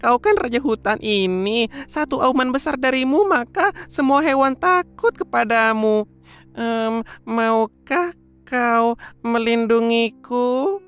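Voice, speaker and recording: A woman's voice: a little high, animated, slow pace, smooth. A woman voicing a small, timid male mouse who pleads and stammers in a children's storybook tale. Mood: pleading.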